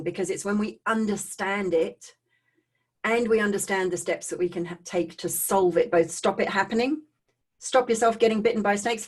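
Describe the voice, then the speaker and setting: A middle-aged woman with an Australian accent giving a personal talk to online viewers in a conversational way, with animated asides and checking in with her listeners. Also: medium pitch. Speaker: speaker hosting a live online talk on trauma recovery.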